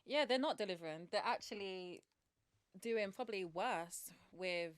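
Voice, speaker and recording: A young woman from the UK co-hosting a basketball chat show, talking in a relaxed, thoughtful way and often trailing off. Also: mid-range voice, measured pace, clean tone, dry recording. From United Kingdom. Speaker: podcast co-host. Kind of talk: casual chat.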